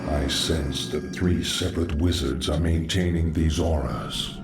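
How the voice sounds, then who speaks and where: An actor playing a solemn, knowing undead lich sorcerer in a video game, formal and measured, with a ghostly echo. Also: male voice, a bass voice, gravelly, full character voice. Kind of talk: video game cutscene voice acting. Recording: echoing distant voice over loud music.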